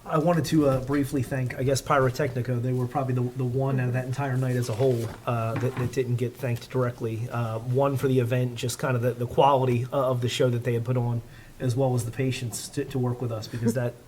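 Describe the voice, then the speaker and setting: Quick-talking man with fairly even pitch. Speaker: mayor chairing a meeting. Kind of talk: informal official remarks. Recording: faint noise and clicks.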